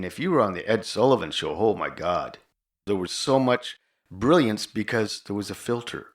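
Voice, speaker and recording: An older man hosting a conversational interview. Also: low-pitched. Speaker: podcast host interviewer.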